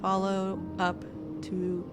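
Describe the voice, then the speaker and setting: A woman's voice: medium pitch, completely flat delivery, extremely slow, noisy background. Woman streamer thinking aloud. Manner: thinking aloud, reading game text aloud. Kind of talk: let's play commentary. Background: game music.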